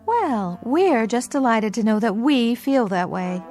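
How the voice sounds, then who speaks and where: A woman's voice: a little high, very animated, unhurried, darkish tone, soft background music. An actress playing a stubborn, sarcastic, worn-out showbiz woman in a radio drama, with a wry, brassy delivery.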